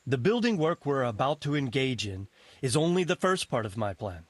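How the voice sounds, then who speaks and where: An actor playing an ageing medieval nobleman in a video game, practical, wry and quietly commanding. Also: medium pitch, very animated, no echo. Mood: measured, practical. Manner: measured, authoritative, wry. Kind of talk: video game cutscene acting.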